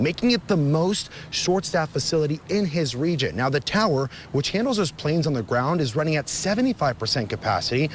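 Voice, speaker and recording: A man's voice: a little high, slight background noise. A man reporting live for TV news from outdoors, with an emphatic, formal delivery. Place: outdoors on a city street at evening.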